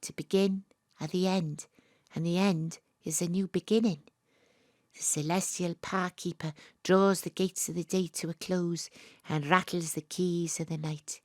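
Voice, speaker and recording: A woman's voice: on the low side, steady tone, measured pace, no room sound, studio quality, lightly acted. A woman playing a plucky, self-assured investigator, narrating her adventure as dramatic first-person storytelling in an audio drama. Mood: hushed, lyrical. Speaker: lead narrator.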